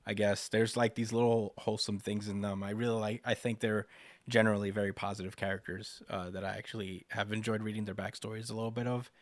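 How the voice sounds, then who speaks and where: A man with a fairly low voice chats analytically as a podcast co-host. He works through his points hesitantly and thoughtfully, recorded close and dry. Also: flat delivery, quick pace, no room sound. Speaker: manga discussion podcast co-host. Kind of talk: casual analytical chat.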